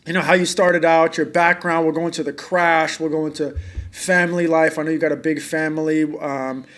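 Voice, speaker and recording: A man's voice: fairly high pitch, flat delivery, fairly quick, a bit bright. A man hosting an interview, asking questions in a loose, rambling way full of fillers. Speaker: podcast host. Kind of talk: casual interview chat.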